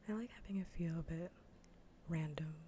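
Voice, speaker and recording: A woman speaking in a soft, breathy whisper close to the mic, chatting playfully and teasingly as an ASMR livestream host. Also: low voice, a little fast, a little hiss or hum. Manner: teasing, playful, mock-scolding. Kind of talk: soft whispered ASMR gaming stream chat. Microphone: close ASMR microphone.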